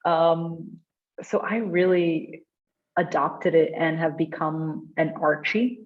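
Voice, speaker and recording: A woman from India with an Indian accent, speaking conversationally and earnestly as she answers audience questions, with expressive, laughter-prone delivery. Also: deep voice, slow pace. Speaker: guest speaker at a design meetup. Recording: dull, lo-fi online call audio.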